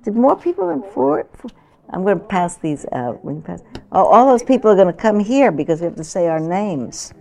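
A woman's voice: mid-range voice, big pitch swings, brisk, gravelly. A woman in her sixties, a meditation teacher, chatting informally and laughing with her group. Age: 60s. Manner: warm, chatty, laughing often. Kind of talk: informal talk to a retreat group.

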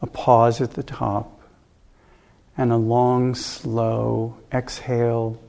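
A man leading a guided meditation as a teacher, giving calm, gentle instructions with unhurried pauses. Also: mid-range voice, gravelly.

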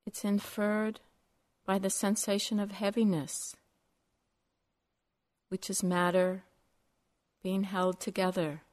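A woman teaching a guided meditation in measured, short phrases separated by long pauses. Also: medium pitch, little pitch movement, darkish tone.

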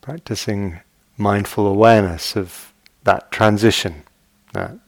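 A man guiding a meditation as a teacher, speaking gently and in measured short phrases with long pauses. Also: on the low side, steady tone, no room sound. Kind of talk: guided meditation. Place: meditation retreat hall.

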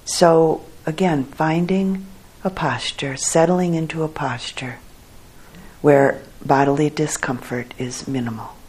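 A woman leading a guided meditation for a group as their teacher, speaking in a calm, measured way with long pauses. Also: deep voice, husky, rough recording.

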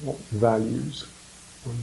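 Slow, mellow man guiding a meditation. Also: medium pitch, steady tone, gravelly, slight background noise, rough recording. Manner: contemplative, unhurried phrasing with reflective pauses and murmured mms. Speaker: meditation teacher. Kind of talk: guided meditation talk.

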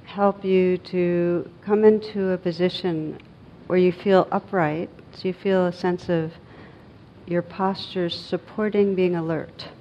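A woman meditation teacher leading a guided meditation in a gentle, unhurried manner with long pauses. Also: lowish voice, fairly even intonation, dull audio, a little hiss or hum.

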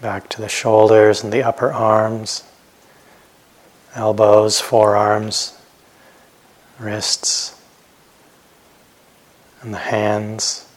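Man, meditation teacher, somewhat low pitch, very slow pace, gentle, short phrases, long pauses. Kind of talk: guided meditation instruction.

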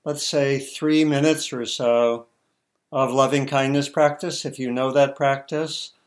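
Man, meditation teacher, mid-pitched voice, very unhurried pace, halting short phrases, gentle guided instruction. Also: steady tone.

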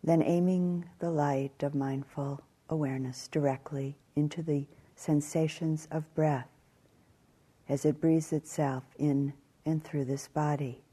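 A woman teaching guided meditation in a calm, measured way, leaving long pauses between short phrases. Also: low-pitched, husky.

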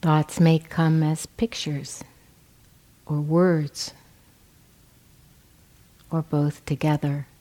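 A woman with a low, mellow voice leads a guided meditation. She speaks very slowly, in gentle phrases separated by pauses, like a teacher giving measured instruction. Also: level tone.

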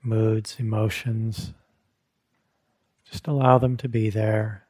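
A man leading a guided meditation as a teacher, speaking gently in short phrases with long, unhurried pauses and a soft, husky tone. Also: fairly low pitch, little pitch movement. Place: meditation hall at a retreat.

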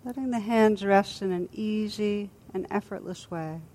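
A woman teacher leading a guided body scan meditation in a gentle, soothing, unhurried manner with long pauses. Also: mid-range voice, a little hiss or hum.

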